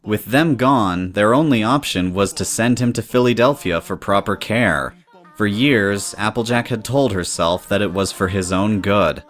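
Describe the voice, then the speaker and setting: A man's voice: on the low side, normal speed, a bit bright. A man reading scripted story narration in the third person, as the narrator of an audio drama.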